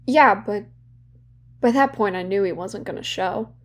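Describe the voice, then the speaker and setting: A young woman playing a guilt-ridden, wry and bitter therapy patient in an audio drama, speaking candidly and haltingly. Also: medium pitch, lightly acted. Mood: wry, sardonic. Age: young adult. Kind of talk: naturalistic audio drama dialogue.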